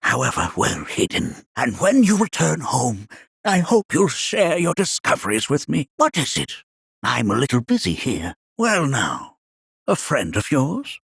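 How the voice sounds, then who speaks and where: A man playing a whimsical, absent-minded elderly scholar in a video game, raspy and creaky, speaking in formal, old-fashioned phrases. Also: medium pitch, huge pitch swings, a little slow, fairly bright tone, dry recording, studio quality, heavily acted. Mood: grave, advising, then curious. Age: older. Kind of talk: video game character acting.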